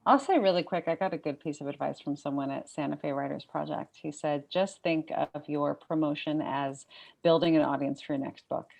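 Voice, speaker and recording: A woman's voice: deep voice, brisk, creaky, a little mellow. A woman talking casually as an author on a panel. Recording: dull lo-fi call audio.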